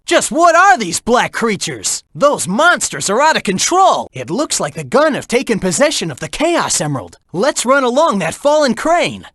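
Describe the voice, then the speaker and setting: An actor voicing a cocky, upbeat teenage hedgehog hero in a video game, cheeky and encouraging. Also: male voice, very high voice, normal speed, hardly any pauses, no room sound, studio quality, exaggerated voice. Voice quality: youthful, bright, energetic. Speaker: video game character voice clips. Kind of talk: game voice acting, mission hints. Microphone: dry studio mic.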